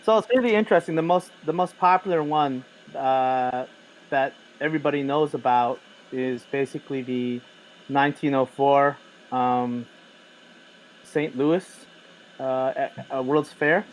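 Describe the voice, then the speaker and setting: A man's voice: mid-range voice, unhurried, slight background noise, low-quality recording. Male podcast co-host, mid-range voice, loose rambling delivery with lots of "uh"s. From United States. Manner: rambling, many fillers and restarts. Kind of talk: casual chat.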